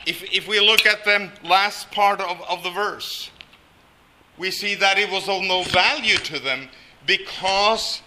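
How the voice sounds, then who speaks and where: High, bright-voiced older preacher from Norway. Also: male voice, a little hiss or hum. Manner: folksy, self-deprecating humor. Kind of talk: sermon with storytelling.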